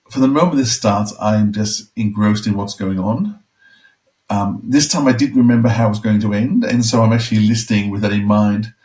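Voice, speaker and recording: A man's voice: medium pitch, a little mellow, poor audio. A man co-hosting a review podcast, moving between reading out notes and chatting casually. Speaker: podcast co-host.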